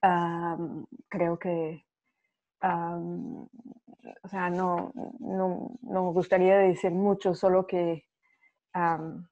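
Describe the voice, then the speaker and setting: Brief: woman, flat and slow, pausing often, dull lo-fi call audio. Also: fairly low pitch. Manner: hesitant, searching for words in a second language. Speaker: writer and researcher, panel guest. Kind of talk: online panel conversation. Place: at home. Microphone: video call.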